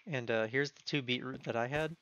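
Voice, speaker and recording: A young man speedrunning a game, chatting quickly and giving practical directions to his teammate. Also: medium pitch, fairly bright tone. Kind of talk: casual gaming chat with teammate. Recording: slightly dull mic with faint noise.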